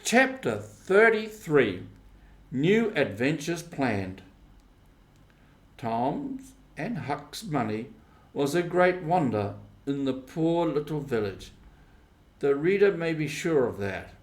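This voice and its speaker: A man reading a storybook as scripted narration, in deliberate, separated phrases. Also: fairly high pitch, big pitch swings. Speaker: audiobook reader. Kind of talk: scripted storybook narration, simplified classic novel.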